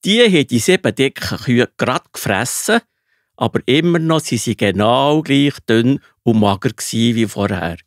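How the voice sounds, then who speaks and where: Slow, swooping Swiss German Pharaoh, played by a man. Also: mid-range voice, animated, dry recording, clean studio sound, lightly acted. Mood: puzzled, storytelling. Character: troubled male Pharaoh, grand ruler of Egypt. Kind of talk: children's Bible audio drama.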